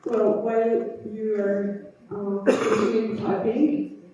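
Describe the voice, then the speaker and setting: A woman's voice: medium pitch, level tone, takes it slowly, warm tone, a little hiss or hum. A woman moderating a panel discussion and guiding the audience with instructions. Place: conference hall. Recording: echoey hall, distant mic.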